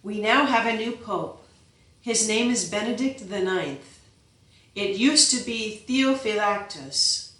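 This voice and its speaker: A woman's voice: medium pitch, animated, takes it slowly, fairly bright tone, distant mic, voice pushed up. Woman reading as a fierce giant medieval lord. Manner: solemn, fierce commanding exhortation. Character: giant medieval lord, stern fervent father. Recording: echoey room, from off-mic.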